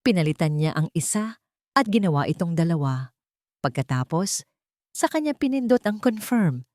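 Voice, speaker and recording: Woman reading a dramatic story, lowish voice, really dry recording. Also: big pitch swings, normal speed, darkish tone, no echo. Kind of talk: dramatic scripted audio story narration.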